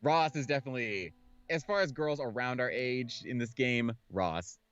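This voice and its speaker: A man's voice: medium pitch, normal speed, fairly bright tone, smooth, no echo, dull audio. A man hosting a game playthrough, reading visual novel narration aloud and chatting casually about it.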